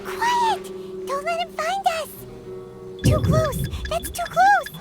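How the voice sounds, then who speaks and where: A woman voicing a tiny, excitable, bossy fairy companion in a video game, squeaky, childlike and exclamatory. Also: takes it slowly, exaggerated voice. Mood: urgent. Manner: urgent, exclamatory. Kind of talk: cartoonish video game acting. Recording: loud game music behind the voice.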